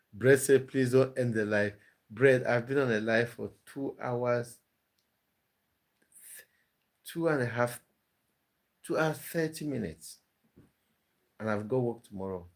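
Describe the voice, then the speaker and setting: A man hosting a casual live stream, reading viewers' comments aloud and answering them with easy laughter. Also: lowish voice.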